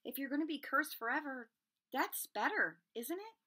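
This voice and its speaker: Woman reading as an anxious wizard boy, high and bright. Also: expressive, moderate pace. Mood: puzzled, questioning. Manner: urgent, questioning. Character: Harry Potter, earnest, anxious young wizard boy. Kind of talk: children's storybook read-aloud.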